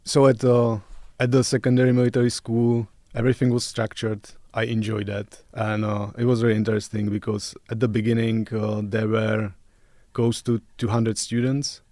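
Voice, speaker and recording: Low, even-toned man with Czech accent. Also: moderate pace, no echo, clean studio sound. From Czech Republic. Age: middle-aged. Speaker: podcast guest, special forces officer. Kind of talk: interview, recounting personal history.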